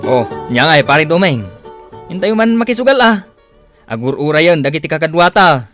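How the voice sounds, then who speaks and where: An actor playing a pushy, teasing gambling buddy in a radio drama, goading and exclamatory. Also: male voice, on the high side, very animated, moderate pace, a bit bright, faint music behind. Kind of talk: Ilocano radio drama acting.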